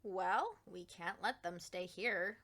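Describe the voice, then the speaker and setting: A woman's voice: on the low side, takes it slowly, a bit bright, full character voice. A woman reading a storybook, voicing a stubborn, fussy woman gardener in an emphatic, grumbling manner. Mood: fretting, resigned.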